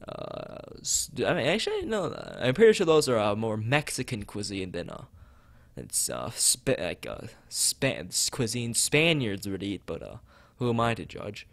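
A man's voice: mid-range voice, big pitch swings, measured pace, vocal fry, fairly bright tone, no echo. A man streaming as he reads a visual novel aloud and chats with viewers, often stumbling and rereading phrases. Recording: background music behind voice.

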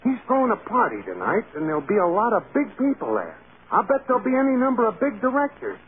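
Eager, high-voiced young pal. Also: male voice, huge pitch swings, quick pace. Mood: persuasive, excited. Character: eager, coaxing young male studio pal. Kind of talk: old-time American radio drama acting. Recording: tinny, noisy vintage radio broadcast.

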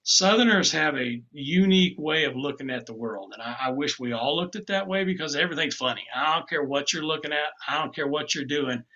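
A man's voice: a little high, fairly quick, hardly any pauses, pushed and bright. A man, casually explaining woodcarving tool sharpening from his home office and often repeating words as he goes. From Tennessee. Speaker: woodcarving instructor. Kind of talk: casual demonstration talk. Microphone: webcam on a video call. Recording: dull lo-fi video call audio.

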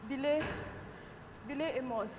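A woman's voice: high voice, moderate pace, darkish tone, close to an everyday voice. A young actress on stage playing a distressed, evasive young woman. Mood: flustered. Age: young adult. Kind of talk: stage play acting. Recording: echoey stage hall, faint noise.

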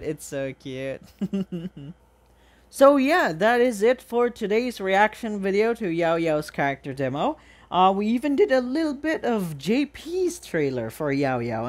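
A woman's voice: on the low side, darkish tone. A woman hosting a reaction video, gushing informally about a game trailer with the odd mild swear. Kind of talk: excited casual reaction commentary to a game trailer.

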